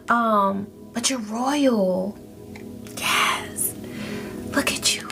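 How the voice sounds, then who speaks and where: A woman with a slightly high voice reads tarot cards in a calm, affirming tone. Clear music plays under her voice the whole time. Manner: soothing, affirming, speaks slowly to a listener. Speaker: tarot reader. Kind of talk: spiritual tarot reading. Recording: music playing behind.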